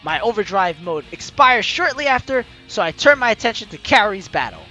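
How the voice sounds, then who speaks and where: A man with a strikingly high, bright voice reads a visual novel aloud as a let's play host. His delivery is theatrical and dramatic, over background music. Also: sing-song, brisk, muffled recording. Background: game music.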